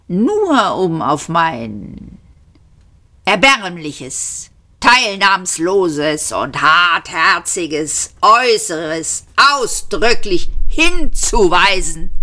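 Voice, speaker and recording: A woman voicing a bitter, menacing male alien commander in an audiobook, with pompous, drawn-out delivery. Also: on the high side, bright, forceful tone, pitched above the performer's own voice, full character voice. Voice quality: screeching, grating. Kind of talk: audiobook character voice.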